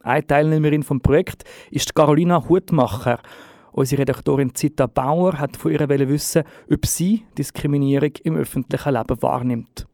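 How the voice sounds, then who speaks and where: A male news presenter gives a formal, crisp introduction to a report. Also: on the high side, normal speed, a little mellow, no echo, clean studio sound.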